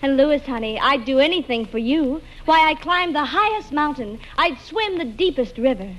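A gushing, adoring sweetheart with a high, cooing voice. She is melodramatic in the manner of 1940s radio comedy, on a vintage recording. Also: lively intonation, moderate pace, a bit bright, poor audio.

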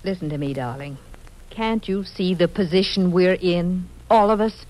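A woman's voice: on the low side, normal speed, darkish tone, close to an everyday voice, poor audio. An actress playing an imperious, scheming wealthy widowed mother in old-time radio soap opera style, theatrical and condescending. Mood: tense, scolding.

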